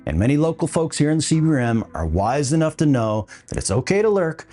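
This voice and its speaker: A man's voice: fairly high pitch, very animated, quick pace, dark tone, faint music behind. A man hosting a podcast, delivering scripted opinion commentary with a wry, dry humour. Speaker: podcast host.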